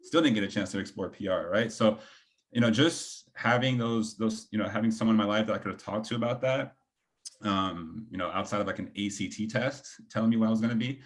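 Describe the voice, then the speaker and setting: A man speaks casually as a panelist, in a slightly low voice. His delivery is informal and meandering, with a self-deprecating streak. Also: brisk. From Belgium.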